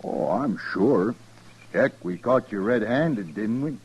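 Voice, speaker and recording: A low-pitched American rancher of the old West, grim yet neighborly. He talks in an unhurried, folksy rural drawl with a matter-of-fact firmness, in the style of a 1950s radio western. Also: lively intonation, darkish tone, husky, heavily acted, low-quality recording. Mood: grimly calm.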